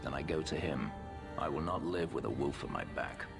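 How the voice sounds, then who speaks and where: A brooding fugitive fighter with an extremely deep, gravel-edged voice. He speaks in terse, measured, formal lines as in a dramatic video game scene, with music playing under him. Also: expressive, exaggerated voice. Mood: grim resolve. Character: brooding male warrior, a fugitive on the run, guarded. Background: background music.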